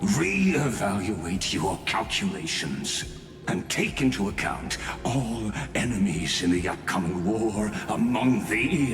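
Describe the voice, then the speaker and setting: A man voicing an arrogant, cerebral scholar villain in a video game, with a pompous, deliberate, academic delivery. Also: sits low, exaggerated voice. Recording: echoey, with music behind. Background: music.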